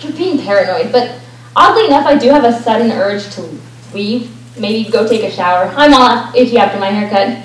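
A young actress playing an excitable, scheming fairy-tale princess in an amateur stage comedy. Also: female voice, on the high side, brisk, natural voice. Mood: conspiratorial. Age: teenager or young adult. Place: school auditorium stage, filmed from audience. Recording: roomy far-off stage recording, clear noise.

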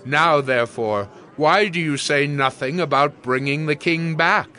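Disputing tribesman for biblical audio drama. Insistent, forceful edge, moderately high pitch. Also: a little slow. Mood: troubled, insistent. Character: troubled, arguing tribesman of ancient Israel.

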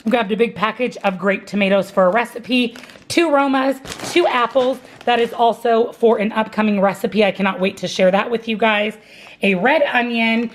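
Bubbly woman vlogger showing off her groceries, kinda high voice, says love love love a lot. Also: a little hiss or hum. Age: adult, married, working. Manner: upbeat, gushing, repeats "love, love, love". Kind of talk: grocery haul and meal plan vlog.

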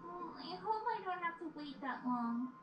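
A woman's voice: very high-pitched, expressive, moderate pace, recorded from a distance, muffled recording, over music. A woman voicing an earnest, anxious six-year-old filly princess in a cartoon audio drama, childlike in manner. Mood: worried. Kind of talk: animated fan audio drama. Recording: echoey playback with noisy background.

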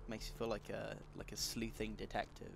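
A woman hosting a let's play, chatting casually about the game with wry, deadpan jokes. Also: very deep voice, a little fast, creaky, background noise. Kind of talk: casual gameplay commentary.

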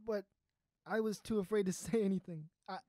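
Dry close recording, actor doing heartbroken young adult, earnest and halting, medium pitch, audio drama. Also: steady tone, darkish tone, no echo, natural voice. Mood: wistful, hesitant. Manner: hesitant, earnest, halting confession. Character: heartbroken, lovesick young adult secretly turning into a beast.

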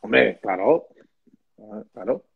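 A man's voice: on the low side, lively intonation, slow pace, creaky, slightly rough. A man, co-hosting a casual live stream from home and teasing his friend in a mock-serious way while reading viewer comments aloud. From Madrid, Spain. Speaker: percussionist, live stream co-host. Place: indoors, small room at home. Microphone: phone or laptop camera, video call. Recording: slightly dull, lo-fi stream audio.

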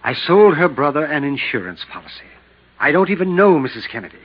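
A man's voice: a little high, sing-song, brisk, breathy edge. An actor playing a middle-aged insurance broker in a 1950s radio drama, terse, formal and evasive, on his guard and indignant. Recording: muffled vintage 1950s radio recording.

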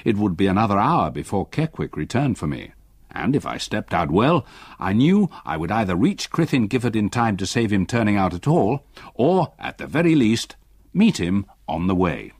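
An elderly retired English solicitor telling a ghost story for radio. His voice is low and husky, with lively movement in pitch. The delivery is grave, reflective and literary, each sentence carefully measured. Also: dry recording, clean studio sound, full character voice. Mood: brooding. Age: older. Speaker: narrator. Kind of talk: literary first-person radio drama narration.

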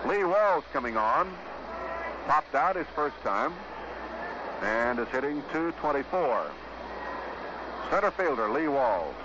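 A man calling baseball play-by-play in 1950s radio style, in measured, clipped phrases with pauses. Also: medium pitch, sing-song. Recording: noisy, dull vintage 1950s radio broadcast.

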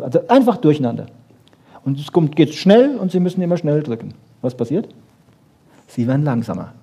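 A man's voice: a little high, dark tone, husky. A man giving a colloquial science lecture, emphatic, with rhetorical questions and frequent little check-ins to his listeners.

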